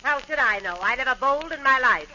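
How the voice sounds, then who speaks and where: A woman's voice: sits high, lively intonation, quick pace. A woman playing a whiny, put-upon committee chairwoman in a 1940s radio comedy, plaintive and easily wounded. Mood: aggrieved. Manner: plaintive, whining. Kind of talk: 1940s radio sitcom acting. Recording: very noisy, dull old radio recording.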